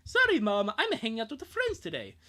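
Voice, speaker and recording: A man's voice: very high-pitched, wildly swooping pitch, brisk, pushed and bright, pitched above the performer's own voice, heavily acted. A man voicing an upbeat, easygoing teenage cartoon boy in an amateur comic dub, chirpy and slangy. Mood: cheerful.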